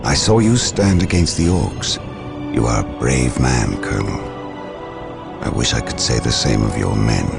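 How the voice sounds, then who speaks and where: Casting: ominous sci-fi psychic warrior for a game, rumbling bottom-register voice, formal menace, loud music behind. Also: male voice, animated, measured pace, creaky, exaggerated voice. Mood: stern. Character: ominous, stern male psychic warrior-librarian. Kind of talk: dramatic video game acting.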